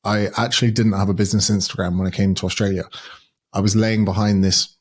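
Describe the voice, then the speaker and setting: A man's voice: on the low side, little pitch movement, fast talker. A man speaking as a podcast guest, an educator and author who talks bluntly and swears freely in casual conversation.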